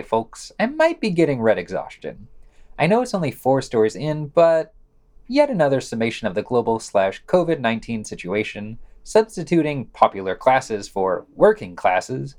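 A man's voice: medium pitch, huge pitch swings, moderate pace, darkish tone. A man co-hosts a scripted news podcast in a mid-range voice. His intonation swings widely, giving readings a theatrical emphasis and his commentary a sarcastic edge.

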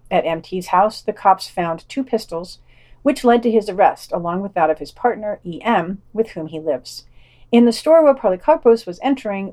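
Woman podcast host reading out news, mid pitch, kinda dry and wry. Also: a little mellow.

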